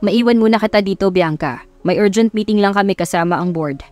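A woman's voice: mid-range voice, brisk, a little mellow, soft background music, natural voice. A woman voicing a confident, commanding male company boss and father in a romance audiobook. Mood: smooth, persuasive. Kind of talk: romance audiobook character acting.

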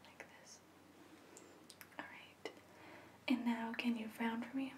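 A woman in her twenties whispering softly and reassuringly in an ASMR medical roleplay, as an examiner talking to a patient. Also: fairly high pitch, level tone, reverberant, recorded from a distance, a little hiss or hum. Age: 20s. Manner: soft, slow, soothing, reassuring. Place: small plain indoor room.

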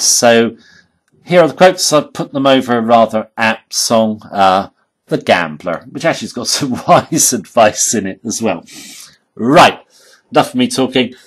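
A hoarse man giving an informal tutorial voice-over, halting and emphatic, often checking in with "okay?". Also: fairly low pitch. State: hoarse, losing his voice. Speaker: trading educator.

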